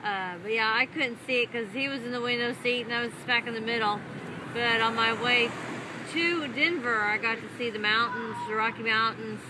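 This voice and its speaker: Heavy outdoor noise, young woman, slightly high voice, casual radio chat. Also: fairly even intonation, normal speed. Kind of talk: casual ham radio conversation. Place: outdoors beside a parked car. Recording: very noisy outdoor recording.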